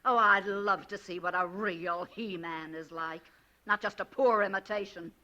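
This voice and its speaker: A woman's voice: medium pitch, fairly even intonation, normal speed, pushed and bright, lo-fi sound, natural voice. Arch, teasing radio-drama wife played by a woman. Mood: wistful, needling. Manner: arch, mocking. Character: teasing, sarcastic middle-aged wife. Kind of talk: 1940s radio drama acting. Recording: crowd sound behind, vintage radio recording.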